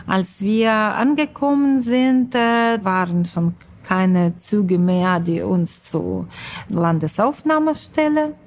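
A woman's voice: mid-range voice, slow, a little mellow. A woman around sixty from Ukraine, telling her own story in an interview, hesitant and searching for words. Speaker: interviewee, social worker. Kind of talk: personal interview account.

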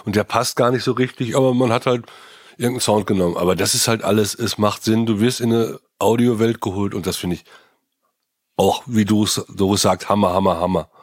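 A man's voice: on the low side, steady tone, a little fast, no room sound, studio quality. A man co-hosting a podcast, giving casual, opinionated comments and repeating words for emphasis. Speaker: podcast co-host with sound design background.

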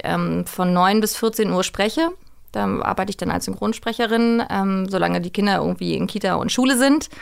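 A woman's voice: lowish voice, creaky. A middle-aged woman, chatting casually and talkatively as a voice actress being interviewed. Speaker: guest, voice actress and dubbing director. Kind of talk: casual interview chat, telling work anecdotes.

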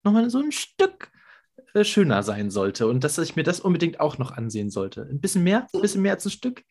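A man's voice: on the high side, huge pitch swings, a little fast, a little mellow. A man hosting a podcast in a casual interview chat, upbeat and chatty, with frequent fillers. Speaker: podcast host.

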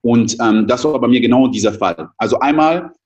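Interview guest, man in his 30s, raised in the Ivory Coast; rapid, animated, filler-heavy storytelling. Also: medium pitch, lo-fi sound. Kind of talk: casual interview talk.

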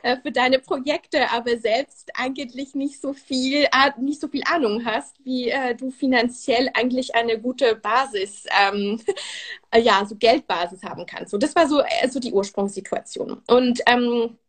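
A woman's voice: fairly high pitch, a little slow. A woman in her twenties from Switzerland with a Swiss German accent, a native speaker of Swiss German and French, chatting as a guest in a live interview with many fillers and restarts. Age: 20s. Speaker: podcast guest.

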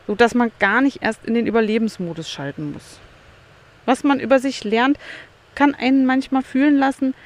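A woman talking through her own thoughts in a solo podcast, candid and musing, often turning things into questions for herself. She records outdoors in a beach chair by the sea, in a medium-pitched, unhurried reflective voice. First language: German. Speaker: podcast host.

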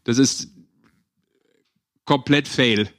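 A man's voice: medium pitch, drawn-out words, a bit bright. A man hosting a sports podcast, talking casually and colloquially, mixing in English slang. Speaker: podcast host. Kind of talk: casual discussion on an ice hockey show.

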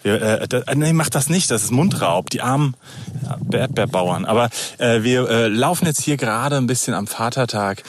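A man's voice: medium pitch, animated, moderate pace. A man from the Palatinate in Germany hosting a casual podcast, rambling and joking as he talks. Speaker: podcast host. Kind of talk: casual chat. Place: outdoors, walking through fields. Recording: outdoor recording with ambient noise. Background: outdoor ambience.

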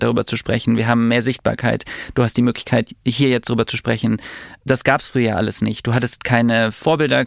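A man's voice: mid-range voice, vocal fry, no room sound, clean studio sound. A man hosting a podcast, responding empathetically and thinking aloud in casual conversation. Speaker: podcast host.

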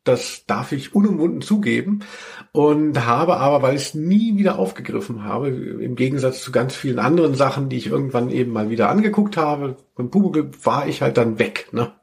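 A man's voice: mid-range voice, expressive, a little fast, creaky. A man co-hosting a podcast in casual chat, rambling along with wry humour. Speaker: podcast host.

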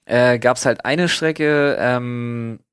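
A man's voice: mid-range voice, extremely slow, a bit bright, clear, resonant tone, no room sound, studio quality. A man chatting casually as a podcast host, telling stories in a slangy, sweary, mock-exasperated way. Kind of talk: casual chat, storytelling.

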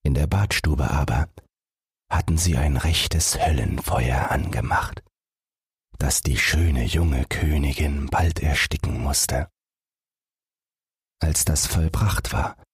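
A man's voice: extremely low pitch, breathy edge, no echo, clean studio sound. A man narrating a scripted fairy tale as a bedtime audiobook.

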